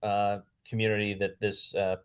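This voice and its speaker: A man's voice: fairly low pitch, level tone, moderate pace, clean tone. A man from Pennsylvania answering conversationally as an interview guest, earnest and fond of lists and repeated phrases. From Pennsylvania, United States. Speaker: public address announcer and podcast guest.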